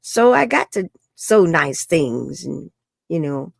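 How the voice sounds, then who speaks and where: A woman's voice: sits low, very animated, slow, vocal fry, no echo, clean studio sound. A woman, telling her life story frankly as an interview guest and laughing often. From New York. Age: 70s. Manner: frank and chatty, laughs often, says "bloody". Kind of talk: candid personal storytelling in an interview.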